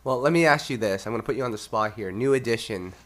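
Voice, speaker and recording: A man's voice: mid-range voice, fast talker, a bit bright. A man with a nasal, congested voice hosting a casual music podcast. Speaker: podcast host.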